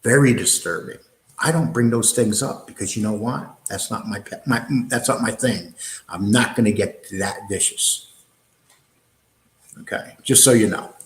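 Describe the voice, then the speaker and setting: A man's voice: on the low side, moderate pace, fairly bright tone, reverberant, a little hiss or hum. A man with a New York accent hosting a live online show, answering viewer comments in a blunt, combative way, with many fillers and restarts.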